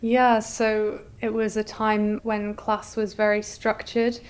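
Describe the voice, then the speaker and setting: A woman's voice: medium pitch, completely flat delivery, slow, clean tone. A woman curator speaking as an interview guest in an articulate, explanatory way.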